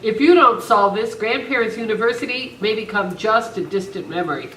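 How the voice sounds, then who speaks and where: A woman's voice: fairly high pitch, a little fast, a bit bright, lightly acted. Woman playing earnest college official, roomy sound. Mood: earnest, emphatic. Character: earnest, worried female alumni affairs coordinator. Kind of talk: amateur old-time radio script reading. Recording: roomy, distant camera mic, faint noise.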